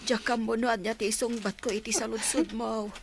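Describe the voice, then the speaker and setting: A woman's voice: on the high side, fairly even intonation, brisk, a little hiss or hum, natural voice. A woman with a Filipino Ilocano accent playing a concerned, chiding village aunt in a radio drama, with an emphatic delivery.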